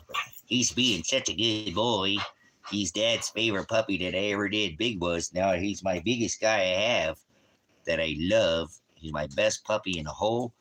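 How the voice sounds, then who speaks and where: Deep-voiced man teaching on a livestream. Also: background noise. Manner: blunt, challenging, asks and answers his own questions. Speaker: ministry teacher and livestream host. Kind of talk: rambling livestream Bible teaching and chat with commenters. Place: indoors at home by a fireplace.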